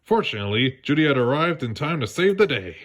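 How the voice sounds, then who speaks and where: A man narrating a children's read-along story in a lively storytelling manner. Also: mid-range voice, quick pace. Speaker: storybook reader.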